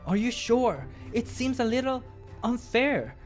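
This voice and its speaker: A man's voice: extremely high pitch, very animated, unhurried, soft background music, voice pushed up, full character voice. A man voicing a polite, shy young hero of a visual novel, with a hesitant way of talking. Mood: unsure.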